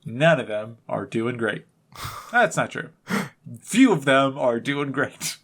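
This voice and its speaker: A man talks as a podcast co-host in loose, unscripted banter. His delivery wanders and doubles back on itself, with a self-deprecating bent. The pitch sits in an ordinary middle range for a man. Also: expressive, takes it slowly, fairly bright tone. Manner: rambling, self-correcting, self-deprecating.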